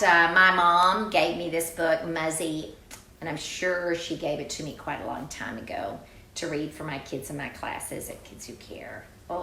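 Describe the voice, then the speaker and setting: A middle-aged woman reading a picture book aloud to children, expressive and exclaiming, with chatty conversational asides. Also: deep voice. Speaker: children's storyteller and drama teacher. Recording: roomy, echoey sound.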